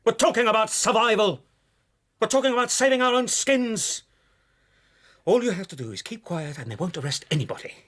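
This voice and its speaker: A man's voice: sits high, animated, a little fast, fairly bright tone. A man acting in a radio drama as a desperate, self-serving lawyer, pleading and insistent with a sarcastic edge.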